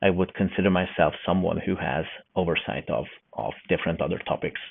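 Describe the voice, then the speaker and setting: A middle-aged man with a Hungarian accent, a guest talking in a relaxed interview and searching for words with many fillers. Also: deep voice, brisk, creaky, husky, dry recording. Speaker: podcast guest, newsletter founder. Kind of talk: casual interview talk. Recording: tinny, dry remote call line.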